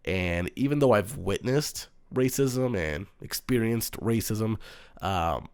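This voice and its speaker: A man hosting his own sports talk show, speaking in a calm, earnest monotone with frequent pauses and "um"s. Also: on the low side, clean tone, dry recording, studio quality. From United States. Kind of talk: solo sports commentary.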